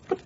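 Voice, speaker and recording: An actor playing a genteel traveller who talks in a fussy, faltering way in a radio play. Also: male voice. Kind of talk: radio drama acting. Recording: muffled lo-fi radio recording, faint background noise.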